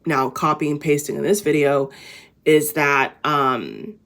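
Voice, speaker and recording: A tired woman with a raspy voice, talking casually and at length to her viewers in a rambling inspirational vlog full of fillers and restarts. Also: low voice, a little slow, a bit bright. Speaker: vlogger. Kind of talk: casual inspirational talk to camera.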